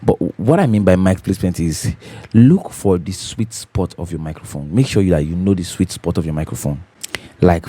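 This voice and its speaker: A man with a deep, bassy voice hosting a home recording tutorial, talking casually and repeating his key points as he checks in with viewers. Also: warm tone, slightly rough. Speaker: host of a home recording channel. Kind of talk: casual tutorial talk to camera. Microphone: studio condenser microphone.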